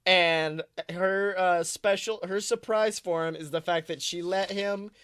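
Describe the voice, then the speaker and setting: A man's voice: high voice, steady tone, unhurried, a bit bright, clear, resonant tone, no echo, studio quality. A man co-hosting a podcast, improvising a comic story in a rambling, self-correcting, playfully dramatic way. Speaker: podcast co-host.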